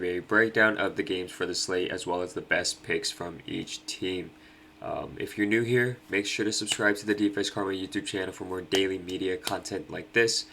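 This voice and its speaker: Young adult male host, nasal and stuffed up, low-pitched, loose casual delivery. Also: fairly bright tone, a little hiss or hum. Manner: laid-back, many ums and uhs. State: nasal from allergies. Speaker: fantasy sports host. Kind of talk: casual picks breakdown.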